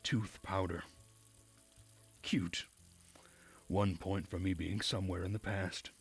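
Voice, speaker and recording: Low, husky man narrating a game. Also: steady tone, slow pace. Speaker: streamer playing a visual novel. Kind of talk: dramatic read-aloud narration of a game.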